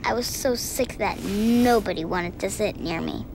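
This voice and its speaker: A woman voicing an earnest, anxious seven-year-old immigrant girl, narrating her own story in an animated short with childlike, measured candour. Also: mid-range voice, vocal fry, background noise. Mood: earnest, wistful. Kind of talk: scripted first-person narration for animated short.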